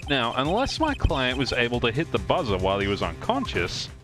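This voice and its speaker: A man's voice: medium pitch, wildly swooping pitch, fairly quick. A man voicing an earnest, dogged young defense attorney in a live fan dub of a courtroom video game, arguing emphatically. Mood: confident, pressing. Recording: music behind the voice. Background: game music.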